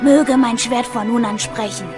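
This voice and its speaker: An anguished young lover from a fantasy audio play , acted by a woman. The high voice declaims theatrically, with music playing behind it. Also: steady tone, measured pace, natural voice. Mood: solemn, grieving. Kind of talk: amateur audio drama acting.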